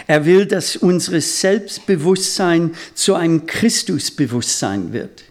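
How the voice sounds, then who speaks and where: Guy preaching a sermon, second-language speaker, bit high voice, really slow and halting. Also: big pitch swings. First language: not German; speaks German as a second language.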